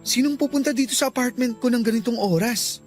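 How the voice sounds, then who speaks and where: A man's voice: very high-pitched, fast talker, faint music behind. A male actor playing an earnest young suitor and boyfriend in a radio love drama, speaking in a pleading manner. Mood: sleepy, surprised. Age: young adult.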